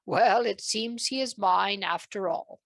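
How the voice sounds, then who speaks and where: A woman voicing a kindly, regal King Arthur in a storybook, with a measured British accent. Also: mid-range voice, creaky, no room sound. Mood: thoughtful, amused. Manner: soft, measured, regal speech. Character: warm, kindly King Arthur, adult man, amused and regal.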